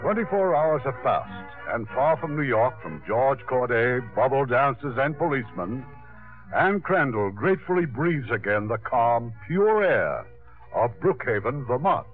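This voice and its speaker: A man's voice: medium pitch, very animated, measured pace. A man narrating a 1950s radio drama as its scene-setting storyteller. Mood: wry, stately. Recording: tinny 1950s radio broadcast. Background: faint music.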